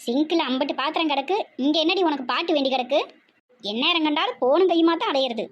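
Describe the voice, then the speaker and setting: A woman's voice: sits high, fast talker, highs cut off, lightly acted. A woman playing a bossy, sarcastic older mother-in-law who nags and scolds with a southern Tamil Nadu accent in a comedy skit. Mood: nagging, indignant. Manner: nagging, sarcastic.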